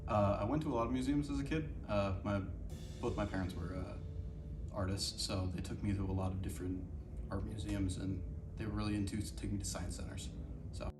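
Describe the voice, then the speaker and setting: A man's voice: fairly low pitch, fairly quick. A nineteen-year-old man in an interview, speaking reflectively with "uh" fillers. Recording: roomy, distant mic under music.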